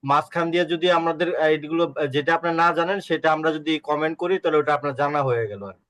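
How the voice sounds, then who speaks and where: A man in a casual online class discussion, talking in a halting way and repeating word starts. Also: on the high side, fairly even intonation, fairly bright tone, dry recording. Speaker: class participant.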